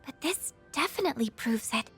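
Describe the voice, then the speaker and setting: A woman voicing a gentle, curious, polite teenage schoolgirl in a video game. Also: high-pitched, lively intonation, fairly quick, husky, faint music behind, full character voice.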